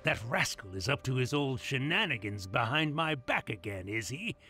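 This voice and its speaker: A man's voice: mid-range voice, very animated, moderate pace, bright, forceful tone. A man playing a fussy, disapproving older village uncle in a video game. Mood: indignant. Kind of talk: game voice acting. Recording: music behind the voice.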